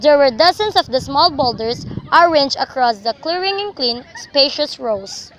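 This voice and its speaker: A woman's voice: high-pitched, lively intonation, unhurried, a bit bright, a little hiss or hum, low-quality recording. A young woman narrating a scripted story for a radio drama.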